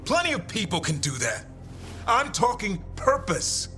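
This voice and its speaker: A man's voice: high voice, huge pitch swings, unhurried, pushed and bright, soft background music, heavily acted. An actor voicing a wry monster-hunting warrior in a video game, a man searching for purpose. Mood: brooding, earnest.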